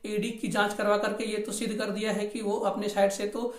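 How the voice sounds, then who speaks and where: A man's voice: high-pitched, level tone, fairly quick. A man presenting an informal news explainer, repeating points and mixing English terms into his Hindi. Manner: repetitive, mixes in English terms. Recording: echoey room recording.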